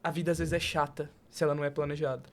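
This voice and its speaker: Male podcast host, Brazilian accent, conversational interviewer with deliberate, segmented phrasing. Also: a little high, little pitch movement.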